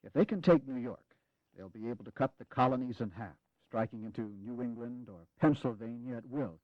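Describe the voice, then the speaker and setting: A man's voice: medium pitch, no room sound, natural voice. A man acting a grave, courtly general, muffled recording. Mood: grave, resolute. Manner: measured, formal, courtly. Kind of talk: dramatized history audio acting. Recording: dull, lo-fi 1980s audio drama.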